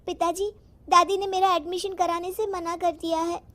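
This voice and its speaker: Lady doing a little schoolgirl, super high voice, polite n earnest, kids story. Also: flat delivery, normal speed, fairly bright tone. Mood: pleading. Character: earnest young schoolgirl, polite and eager to study.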